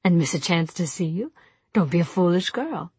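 A woman narrator plays a possessive young gentleman in an audiobook. The delivery is suave, soft and condescending, pitched fairly low with a husky grain. The sound is close and dry. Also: lively intonation, moderate pace, no echo. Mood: tender, teasing. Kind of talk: audiobook character dialogue.

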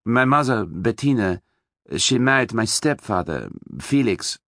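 A male narrator plays a tall, self-possessed German woman with a soft German accent. Her pitch is lifted and varied, her manner frank and brisk, in a dry audiobook recording. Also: lively intonation, dry recording. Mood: frank, open.

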